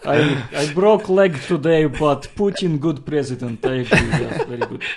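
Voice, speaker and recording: A cheerful Russian man speaking broken English, a bit higher and louder than plain talk, as a young man puts it on. The voice stays gravelly. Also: normal speed, close to an everyday voice. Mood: mock cheerful.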